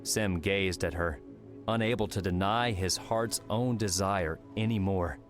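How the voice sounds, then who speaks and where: A man narrates fiction in a very deep voice. It is a scripted audiobook reading, with soft music behind him. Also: unhurried.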